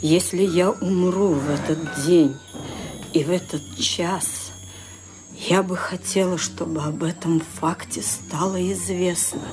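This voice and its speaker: An actress playing an imperious elderly widowed lady in a radio drama, speaking gravely and deliberately. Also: on the low side. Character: frightened, commanding elderly widowed lady of the house.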